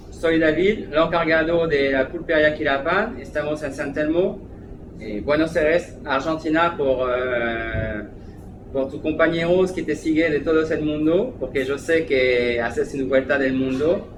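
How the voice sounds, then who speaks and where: A man's voice: a little high, unhurried. French-accented man, hesitant, echoey room. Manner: hesitant, many fillers. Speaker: restaurant manager. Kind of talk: interview. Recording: echoey room, background noise.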